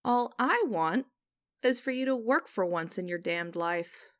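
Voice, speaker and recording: A woman playing a cold, condescending female boss in an ASMR audio roleplay, speaking to the listener in a deliberate, commanding, mocking way. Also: mid-range voice, animated, no room sound, clean studio sound, natural voice. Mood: cold, controlled. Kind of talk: ASMR roleplay acting, direct address to listener.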